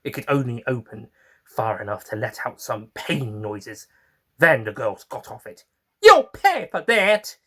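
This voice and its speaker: A man's voice: lowish voice, measured pace, fairly bright tone, husky. A man narrating a first-person story theatrically and in character, with chatty asides to the listeners. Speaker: writer and narrator reading in character.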